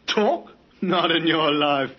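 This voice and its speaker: An actor playing a defiant career criminal being questioned in an old-time radio crime drama. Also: male voice, fairly high pitch, takes it slowly, heavy background noise. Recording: muffled vintage radio recording, music behind.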